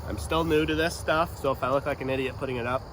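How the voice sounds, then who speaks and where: A man's voice: medium pitch, steady tone, quick pace, fairly bright tone. A man with a head cold, stuffy and nasal, talking casually and hesitantly with many ums while outdoors in a windy pasture, recorded on a camera's built-in mic. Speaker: farm vlogger. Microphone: camera mic, no external mic.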